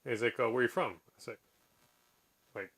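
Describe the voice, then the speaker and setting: A Canadian man chatting casually on a podcast, explaining things in a relaxed way. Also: mid-range voice, moderate pace, slightly rough. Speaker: podcast co-host. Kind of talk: casual chat.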